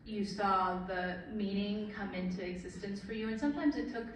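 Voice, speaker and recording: A woman on a writing panel thinks aloud, pausing and restarting as she searches for words. She is recorded from the back of a very echoey hall, with a faint hum of the room behind her. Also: mid-range voice, recorded from a distance. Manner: searching, halting, many fillers. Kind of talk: thoughtful panel talk.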